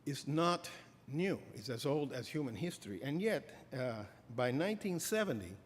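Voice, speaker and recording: A middle-aged man giving an academic lecture as a professor, halting with many fillers and restarts. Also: medium pitch, very animated. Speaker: professor giving a keynote address. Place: lecture hall. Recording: reverberant hall, faint ambience.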